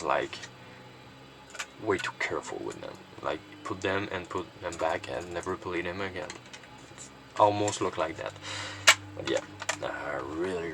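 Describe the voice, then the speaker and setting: A man's voice: extremely low pitch, gravelly, background noise. A man presenting his collection in a casual, unscripted show-and-tell, rambling and hesitant with lots of filler words.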